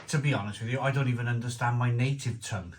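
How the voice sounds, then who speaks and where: A man's voice: medium pitch, fast talker, heavy background noise. A man from Wales, giving a chatty, rambling beer review to camera with frequent restarts and asides.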